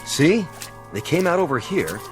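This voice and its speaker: An actor playing an earnest state trooper investigating something strange in a radio drama, terse and intent. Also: male voice, on the high side, sing-song, measured pace, over music. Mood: intent, uneasy.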